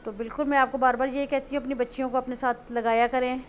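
A woman's voice: sits high, little pitch movement, fast talker, soft background music. Chatty woman baker, slightly muffled. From Pakistan, Punjab. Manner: chatty, homely step-by-step instructions. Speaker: home baker teaching cake decorating. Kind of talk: casual voice-over tutorial. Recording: slightly muffled voice-over with faint noise.

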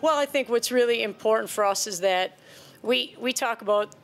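A woman's voice: on the high side, normal speed, soft background music. A woman in her forties, a head basketball coach, answering reporters' questions at a postgame press conference. She talks earnestly and conversationally into a table microphone, often repeating a word as she restarts a sentence. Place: press conference room before a sponsor backdrop.

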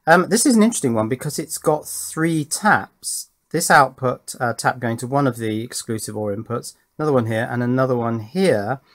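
Male tutorial presenter, medium pitch, thinks aloud methodically, unscripted technical delivery. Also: fairly bright tone. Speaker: presenter of electronics tutorial channel. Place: indoors at a workbench.

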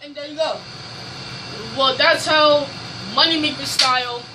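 High-voiced young man, rambling vlog. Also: steady tone, measured pace. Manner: rambling, hesitant, many fillers and restarts. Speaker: YouTuber. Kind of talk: casual home vlog. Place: small dim bedroom indoors. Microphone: phone camera moved around room. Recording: noisy home phone recording.

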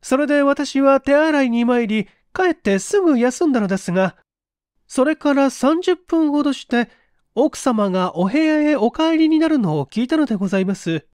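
A man's voice: very high voice, lively intonation, darkish tone, clean tone, no echo, clean studio sound, pitched above the performer's own voice. A man voicing a polite, deferential young housemaid in an audiobook mystery, speaking in a formal, careful way. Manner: formal, humble, measured storytelling.

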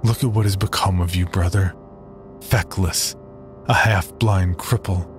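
A man's voice: deep voice, steady tone, unhurried, over music. A man voicing a cold, disdainful frontier man who speaks curtly. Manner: cold, curt.

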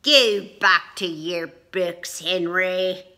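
A woman's voice: on the low side, drawn-out words, sharp tone, exaggerated voice. A woman voicing a dismissive male pirate bunny in a storybook read-aloud.

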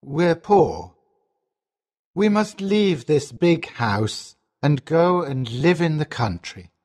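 A woman acts out a middle-aged storybook father, a loving merchant. The voice is lowered and husky. He talks slowly and earnestly, with deep feeling. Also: big pitch swings, darkish tone, heavily acted. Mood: sad. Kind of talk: storybook character voice.

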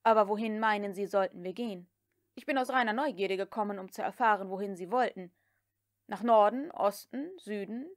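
A woman voicing a cool, mocking gentleman who takes command, as part of an audiobook reading. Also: medium pitch, no room sound, clean studio sound, lightly acted. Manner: sardonic, crisp, commanding. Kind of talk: dramatic audiobook character reading.

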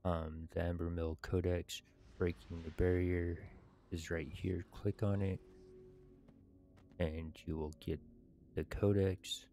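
A man in his thirties who hosts gaming videos, reading game text and commenting in a measured, halting, casual way. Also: deep voice, flat delivery, dark tone, soft background music. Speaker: gaming video host reading quests aloud. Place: indoors at a computer.

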